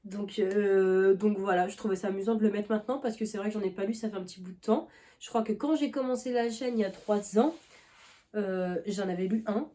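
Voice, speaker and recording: A woman's voice: mid-range voice, fairly even intonation, brisk, warm tone. A young woman vlogging about books in a loose, rambling chat, full of fillers and restarts, with a cough now and then. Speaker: book vlogger. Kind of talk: casual chat.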